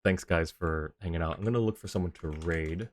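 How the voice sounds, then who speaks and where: A man's voice: low-pitched, brisk. A man chatting casually on a game livestream, thinking aloud in a rambling, easygoing way. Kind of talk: casual livestream chat while playing a game. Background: background game music.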